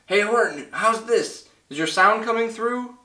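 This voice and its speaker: Guy doing an earnest storybook mayor rallying his town, pitched up, roomy sound. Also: a little slow, sharp tone. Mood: urgent, rousing. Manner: rousing, declaiming. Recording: roomy, slightly distant mic.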